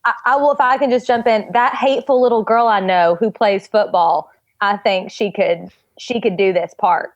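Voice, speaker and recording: A woman playing a brash, pushy child talent agent, rambling through a folksy sales pitch in improvised comedy. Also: steady tone, normal speed, no echo, dull audio, lightly acted.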